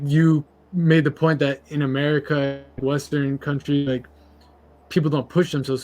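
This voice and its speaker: A man's voice: mid-range voice, darkish tone. A young man hosting a podcast, chatting informally and emphatically with plenty of slang. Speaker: podcast host. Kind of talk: casual chat.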